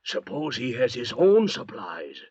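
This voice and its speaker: An actor playing a bitter, suspicious, volatile thief cast away at sea, needling and sneering in 1940s radio style. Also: male voice, medium pitch, wildly swooping pitch, unhurried, a little mellow, husky, heavily acted. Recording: dull old restored radio recording.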